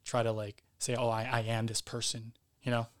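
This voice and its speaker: A man's voice: mid-range voice, monotone, normal speed. A man, an actor and podcast guest, talking casually with many fillers and restarts.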